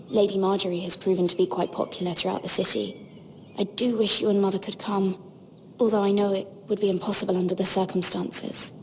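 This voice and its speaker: An actress playing a young noblewoman serving as a handmaiden in a fantasy game, soft-spoken, polite and reserved. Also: mid-range voice, slight background noise, lightly acted. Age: young adult. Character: young noblewoman handmaiden, gentle, homesick, guarded. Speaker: voice actor. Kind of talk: dramatic video game acting. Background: music.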